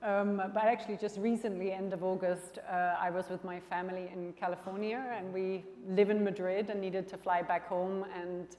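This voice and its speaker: A middle-aged woman from Denmark, an insurance executive speaking on stage, with thoughtful, slightly halting phrasing. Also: mid-range voice, little pitch movement, a little mellow. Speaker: panel guest, insurance executive. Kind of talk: onstage conversation. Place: conference stage. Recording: reverberant conference hall.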